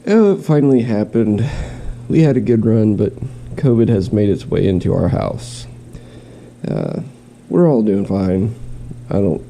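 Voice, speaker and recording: A man with a stuffy nose and a slight cough talks in a deep, gravelly voice with a very dark tone. He is nasal and rambling as he runs through his gear at home. Faint noise sits behind him. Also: lively intonation, measured pace. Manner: rambling, with restarts. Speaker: fishing vlogger. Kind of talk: casual show-and-tell. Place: home, in quarantine.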